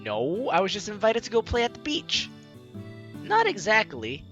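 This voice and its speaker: A man's voice: high-pitched, moderate pace, lo-fi sound. A young man hosting a let's play, casually commenting and reading game dialogue aloud in a playful way. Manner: animated, playful. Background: game music.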